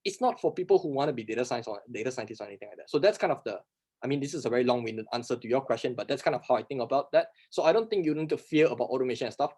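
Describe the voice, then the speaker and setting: A man who is not a native English speaker answers workshop questions, talking fast and emphatically, often asking and answering his own rhetorical questions. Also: medium pitch, expressive, darkish tone. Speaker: workshop instructor.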